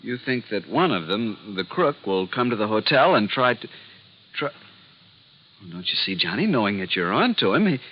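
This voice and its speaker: Earnest, excitable low-voiced art expert. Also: male voice, normal speed. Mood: alarmed. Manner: insistent, flustered, emphatic. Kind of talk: 1950s radio drama acting. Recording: muffled vintage radio recording, faint noise.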